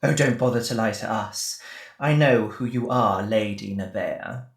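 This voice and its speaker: A woman's voice: extremely low pitch, a little slow. A woman playing a smug, menacing cult leader in an audio drama, patronising and theatrical. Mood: gloating, menacing. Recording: echoey, faint music behind.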